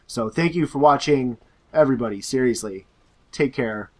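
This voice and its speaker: A young man with a hoarse, sore-throated voice talks through viewer questions in a relaxed, rambling way. He leans on "uh" and "like," restarts his sentences and drifts from point to point. His pitch and pace stay in an ordinary middle range. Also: normal speed. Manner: rambling, filler-heavy, self-correcting. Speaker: gaming YouTuber. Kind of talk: casual Q&A reading out viewer questions.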